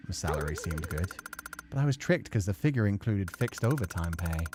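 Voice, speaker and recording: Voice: sits low, big pitch swings, fairly quick, a little mellow, a little hiss or hum, pitched below the performer's own voice. A performer reading a reserved, weary senior male office worker in a visual novel. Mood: reserved, resigned. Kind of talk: visual novel character read-aloud.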